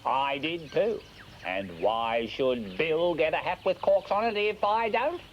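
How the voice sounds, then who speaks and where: A man's voice: fairly high pitch, animated, a little slow. A man voicing a grumpy, know-it-all male snail in a children's puppet show, grumbling and smug. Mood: grumbly smug. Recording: dull old TV audio with hiss.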